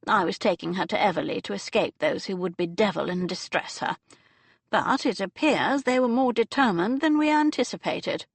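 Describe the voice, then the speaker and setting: A woman narrator voicing an elderly aristocratic dowager duchess who speaks in a lofty, brisk, upper-class manner. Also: mid-range voice, creaky, slightly rough, no echo. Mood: decisive.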